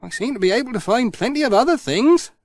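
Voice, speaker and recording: A man voicing a cheerful, kindly village postman in a children's storybook, bright, earnest and friendly. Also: extremely high pitch, animated, fast, dry recording, pitched above the performer's own voice, full character voice. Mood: hopeful, eager.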